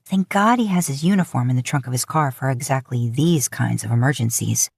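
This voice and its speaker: A woman's voice: rumbling low, brisk, gravelly, darkish tone, husky, no room sound. A woman narrating scripted romantic fiction as an audiobook-style storyteller, recorded close to the mic.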